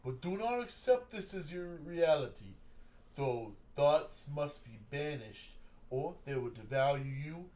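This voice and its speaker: A man plays a drunk young poet holding forth to a tavern crowd, his slightly high voice swinging from hushed brooding to loud cries. The delivery is theatrical and declaiming. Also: lively intonation, unhurried. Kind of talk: amateur script read-through.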